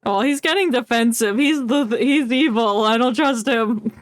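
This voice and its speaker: A woman's voice: a little high, moderate pace, dry recording. A woman streaming a video game with casual, joking live commentary, reacting aloud to the story.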